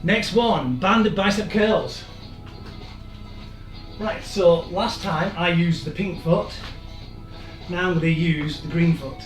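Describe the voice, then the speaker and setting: A man, hoarse and losing his voice, leading a live-streamed workout with chatty, encouraging asides. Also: sits high, slow, pauses often, far from the mic. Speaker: fitness instructor. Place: room in an old house. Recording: echoey room, off-mic, music behind.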